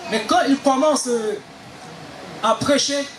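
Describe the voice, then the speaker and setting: Prédicateur haïtien à la voix très haut perchée. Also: male voice, very high voice, slow, echoey room, background noise. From Haiti. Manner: exhortatory, punctuates phrases with amens. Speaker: guest preacher. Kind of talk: sermon with call and response.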